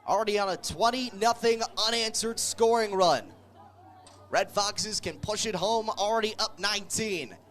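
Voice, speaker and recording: A man doing live play-by-play radio commentary of a football game, calling the action with excited energy. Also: high voice, moderate pace. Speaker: play-by-play sports radio announcer.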